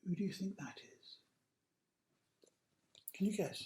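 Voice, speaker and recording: Guy reading a kids story slow n dramatic, voice swoops about, hushed asides. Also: sits high, lively intonation, slight background noise. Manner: dramatic, drawn-out emphasis with hushed asides to young listeners. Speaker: author reading aloud own picture book. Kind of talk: animated children's storytime narration. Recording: roomy, echoey sound.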